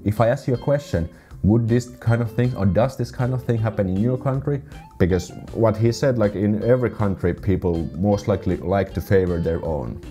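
A man's voice: deep voice, dark tone. A man in his thirties with a Finnish accent, reading viewer comments aloud and reacting to them in a video, often restarting his sentences. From Finland. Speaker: vlogger. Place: small room indoors. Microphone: desk condenser mic.

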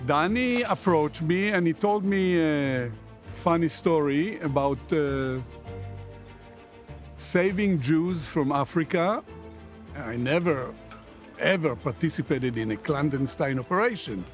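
A man in a documentary interview, recalling in a deliberate, earnest way. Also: on the high side, lively intonation, faint music behind. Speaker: interviewee, former navy commando.

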